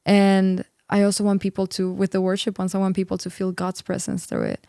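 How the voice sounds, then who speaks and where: A woman in her twenties from Peru talks as a guest in an earnest, upbeat way. She fills her speech with "like" and "you know." Her voice is smooth and clear, and her tone stays fairly even. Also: mid-range voice, fairly quick, no echo, clean studio sound. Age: 20s. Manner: earnest, upbeat, filler-heavy with many "like"s. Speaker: singer and worship leader guest. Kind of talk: casual interview chat.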